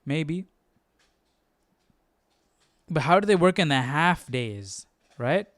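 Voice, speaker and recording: A man's voice: a little high, very animated, slow, fairly bright tone, no echo, clean studio sound. A man hosting a Bible study stream, casually thinking aloud in a tentative, self-questioning way. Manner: tentative, self-questioning, often tags sentences with "right?". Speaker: host of a Bible study livestream.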